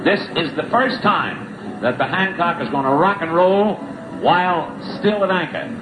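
High-voiced man hosting, echoey old broadcast. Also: normal speed. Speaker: variety show host introducing a performer. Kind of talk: 1950s live television introduction. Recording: noisy, echoey 1950s live broadcast.

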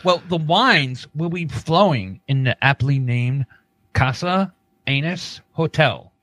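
A man's voice: a little high, takes it slowly, a bit bright, no echo. A man co-hosting a comedy podcast, reading odd news with sweary, teasing, casual banter.

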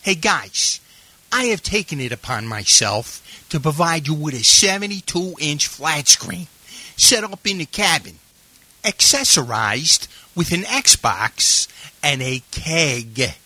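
Man playing a sharp, raspy, cheerful camp counselor. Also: fairly high pitch, animated, slow, no room sound, exaggerated voice. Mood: proudly announcing. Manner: formal, announcing, chummy. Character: cheerful, eager, slightly sleazy male camp counselor. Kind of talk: scripted audio comedy acting.

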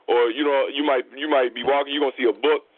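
A man's voice: mid-range voice, steady tone, fast, slightly rough, no echo. A man hosting a call-in show, talking earnestly and explaining things with many repetitions. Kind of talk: casual spiritual chat. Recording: dry tinny phone line.

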